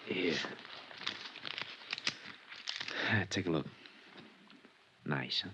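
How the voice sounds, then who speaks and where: An American man acting a downhearted small-time bandleader in a 1950s radio drama, halting, wistful and slangy. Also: low voice, sharp tone, a little hiss or hum. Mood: lovesick. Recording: muffled vintage radio recording.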